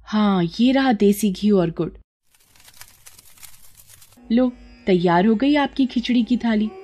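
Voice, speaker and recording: A woman's voice: mid-range voice, takes it slowly, dark tone, highs cut off. A woman playing an innocent, stubborn, devout young village girl in a devotional story, earnest and simple-hearted. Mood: dutiful. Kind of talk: Hindi devotional story acting.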